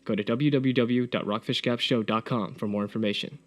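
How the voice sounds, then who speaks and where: A man's voice: mid-range voice, steady tone, fairly quick. A man reading a scripted sponsor announcement as an announcer.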